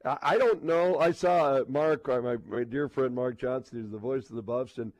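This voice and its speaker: A man hosting a sports talk radio show in an effusive, halting, unscripted way, repeating phrases for emphasis. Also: mid-range voice, a little mellow, no room sound.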